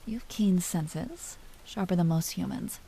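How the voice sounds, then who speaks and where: A woman playing an aristocratic vampire duchess in a roleplay audio drama, commanding and teasing yet secretly tender, often hushed and confiding. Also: fairly low pitch, lively intonation, measured pace, gravelly, slight background noise, rough recording. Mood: earnest.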